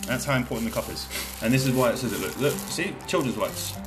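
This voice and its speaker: A man from Britain hosts his own podcast in a loose, rambling chat, often joking at his own expense and swearing freely. His voice sits at an ordinary middle pitch for a man. Music plays clearly underneath him the whole time. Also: animated, quick pace, rough recording. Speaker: podcast host. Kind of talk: casual solo podcast chat.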